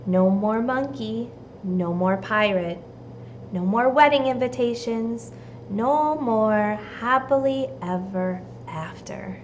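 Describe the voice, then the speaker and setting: A woman from the United States reading a storybook aloud and giving children simple, deliberate instructions like a teacher. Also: fairly high pitch, lo-fi sound, slight background noise. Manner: deliberate, simple phrasing addressed to young children.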